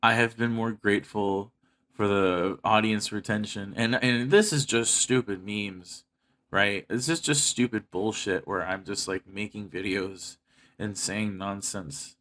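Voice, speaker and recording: A man's voice: on the low side, unhurried, a bit bright, a little hiss or hum. A man of thirty speaking earnestly and with heavy swearing in a personal monologue to his online audience. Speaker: online video creator making comic dubs and skits.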